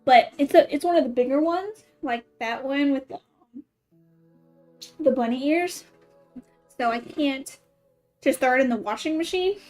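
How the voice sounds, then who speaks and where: High-voiced woman streaming a game. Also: measured pace, long pauses, a little mellow, faint music behind. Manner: chatty, thinking aloud in short playful remarks. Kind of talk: casual live gameplay chat.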